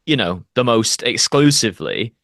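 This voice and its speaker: Young adult man, British accent, mid-pitched voice, dry recording, reflective chatty podcast guest. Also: sing-song, moderate pace, gravelly, fairly bright tone, dry recording. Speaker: podcast guest, actor and YouTuber. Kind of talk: casual interview chat.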